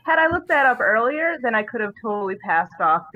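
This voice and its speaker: A woman's voice: fairly high pitch, sing-song, brisk. A woman hosting a casual livestream over an online call. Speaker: stream host. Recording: dull lo-fi online call audio.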